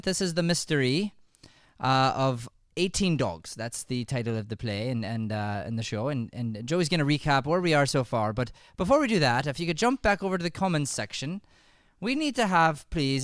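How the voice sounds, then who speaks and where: A man's voice: a little high, dry recording, studio quality. A man casually hosting a live improv show into a podcast microphone.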